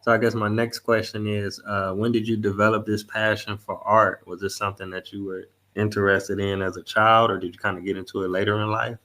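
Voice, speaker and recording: A man's voice: fairly low pitch, level tone, fairly quick, hardly any pauses, pushed and bright. A man hosting a podcast interview over a video call, polite and formally courteous with his guest. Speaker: podcast host.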